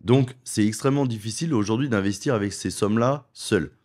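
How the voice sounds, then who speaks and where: A middle-aged man from France speaks with a somewhat low voice. He argues his points persuasively in a studio interview, stacking them in quick runs. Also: a little mellow. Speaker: wealth advisor and property investment guest. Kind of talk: conversational interview.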